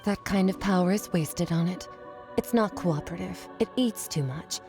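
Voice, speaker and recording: A woman voicing an aloof, blunt master swordswoman in a video game, speaking in a deadpan, matter-of-fact way. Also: on the low side, moderate pace, soft background music, lightly acted. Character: aloof, blunt female master swordswoman, coolly self-assured. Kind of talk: scripted video game dialogue.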